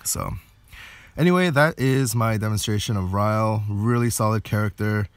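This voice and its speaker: A man's voice: lowish voice, moderate pace, clear, resonant tone, dry recording. A man giving casual gameplay commentary, thinking aloud a little hesitantly as he plays. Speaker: game video creator.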